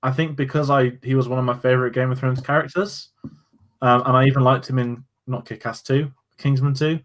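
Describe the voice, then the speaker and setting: A man's voice: medium pitch, steady tone, fairly quick. A man co-hosting a casual review chat, musing loosely with restarts and fillers. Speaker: podcast co-host.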